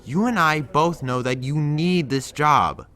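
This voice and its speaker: A blunt, pushy young friend. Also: male voice, on the high side, a little slow, sharp tone. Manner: firm, lecturing. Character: blunt, pushy young male friend giving tough love. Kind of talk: audio drama acting.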